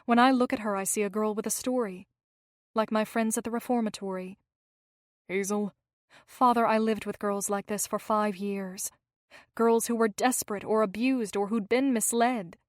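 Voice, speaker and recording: A woman voicing a compassionate young woman who speaks gently and reassuringly. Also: mid-range voice, fairly even intonation, moderate pace, no room sound, studio quality, close to an everyday voice. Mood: earnest.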